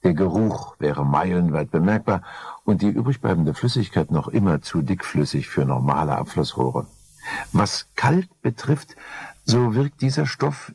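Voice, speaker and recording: Older man, low husky voice, dry ironic crime narration. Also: a little mellow, no room sound. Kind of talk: scripted crime audiobook narration.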